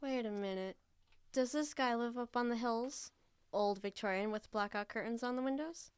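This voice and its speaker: An actress plays a young true-crime obsessive in an audio drama. She is nosy and wry, pitched a little high, leaning on key words with theatrical relish. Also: moderate pace, smooth, no echo, close to an everyday voice. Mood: excited, ominous. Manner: wry, insistent, theatrical emphasis. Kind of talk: live audio drama acting.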